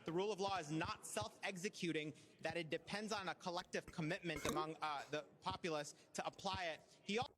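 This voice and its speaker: A man reporting live on a political hearing, brisk with frequent filler uhs. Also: high voice, noisy background.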